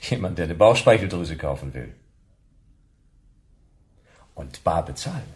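Low, needling detective. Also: male voice, sing-song, measured pace, darkish tone. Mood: cool, probing. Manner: laconic, needling. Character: cool, persistent male detective posing as a customer. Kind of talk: German radio play acting.

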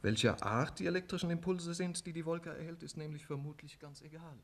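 A man's voice: on the high side, fairly quick, fairly bright tone. A man playing an eager, practical scientist who explains things precisely in a 1950s German radio drama. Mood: explaining. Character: male scientist, eager, practical problem solver.

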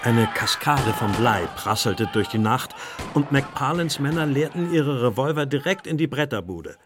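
Male actor as English gentleman, mid-range voice, earnest, anxious, formal, deferential, audio drama narration. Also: medium pitch, expressive, moderate pace, over loud music, close to an everyday voice. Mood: grave recounting.

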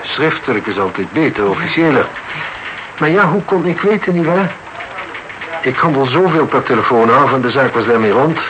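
Man acting evasive male client, radio drama, mid pitch, formal, pompous, self-important, noisy old recording. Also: expressive, moderate pace, lightly acted. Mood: formal, obliging.

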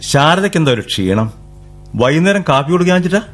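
An actor playing an eager young cousin who helps at the family newspaper, chatty and coaxing. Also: male voice, on the high side, big pitch swings, fast. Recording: vintage radio broadcast with music.

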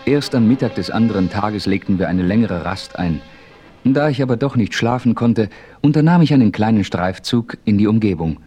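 A man's voice: on the low side, animated, moderate pace, warm tone, faint music behind, natural voice. An actor playing a resolute, commanding Western adventurer who narrates his story in a 1970s radio play. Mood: measured storytelling. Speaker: narrator and lead character. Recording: faintly noisy old radio play recording.

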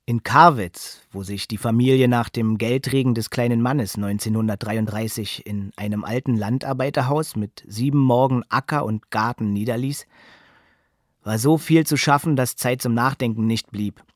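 Man playing grown son, calm, reflective, measured radio narration, medium pitch, dry acoustic. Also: no room sound, lightly acted. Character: writer's grown son, calmly looking back on childhood. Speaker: actor in a radio play.

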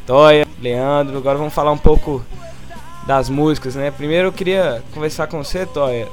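Relaxed male podcast host. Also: on the high side, moderate pace, faint music behind. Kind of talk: casual podcast interview. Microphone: computer mic.

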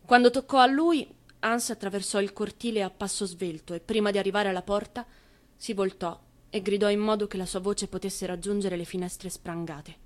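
A woman giving a solemn, deliberate dramatic narration, as in radio theatre. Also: medium pitch, steady tone. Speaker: stage actress and narrator.

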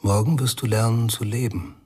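An actor playing a mysterious, controlling drug giver with a very husky voice in a radio crime drama. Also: male voice, fairly low pitch, steady tone, moderate pace, a little mellow. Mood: calmly ominous. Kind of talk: 1990s German radio crime drama acting.

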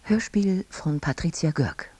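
A woman announcer with a very low, husky voice and lively melody. She reads credits formally. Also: a little slow, no echo.

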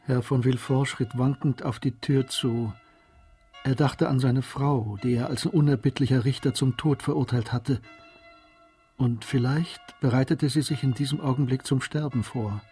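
A man acts as the sombre narrator of a tragic radio drama. His voice is dark and mellow and his reading measured, with faint music behind. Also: mid-range voice, steady tone, close to an everyday voice.

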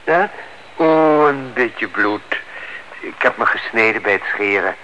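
An actor playing a courteous, evasive married neighbour in a Dutch radio play, smooth-talking and guarded. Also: male voice, on the low side, animated, a little slow, pushed and bright. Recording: dull, noisy old radio recording.

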